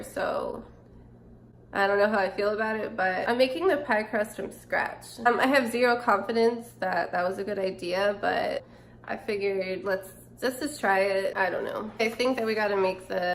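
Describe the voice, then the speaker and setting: A woman in her twenties with a stuffy, nasal voice from a cold, chatting casually and thinking aloud as she cooks in her home kitchen. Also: medium pitch. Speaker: cooking vlogger. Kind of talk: casual cooking vlog. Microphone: camera or phone close up.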